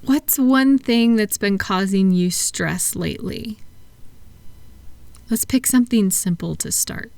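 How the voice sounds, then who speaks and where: A woman speaking softly and gently, at times breathy, in an unhurried, reassuring one-sided ASMR roleplay as a calming practitioner. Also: medium pitch, dry recording. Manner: soothing, reassuring, unhurried, asking gentle questions. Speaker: audio roleplay performer playing a thought-calming practitioner.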